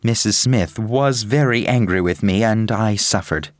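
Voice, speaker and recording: An actor playing a remorseful young gentleman scoundrel in an audiobook, pleading and confessing earnestly. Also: fairly low pitch, unhurried, dry recording, studio quality, close to an everyday voice. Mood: regretful confessing. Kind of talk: dramatised audiobook dialogue.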